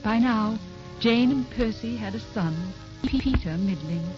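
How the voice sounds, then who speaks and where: A woman playing the reflective narrator of a sentimental old-time radio drama, with a halting storytelling delivery. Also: fairly high pitch, expressive. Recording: dull old radio recording, music behind.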